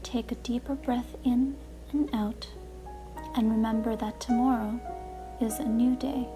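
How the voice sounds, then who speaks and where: Woman, relaxation guide, higher-pitched voice, calm soothing narration, gentle deliberate phrasing, clear background music. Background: music playing behind the voice.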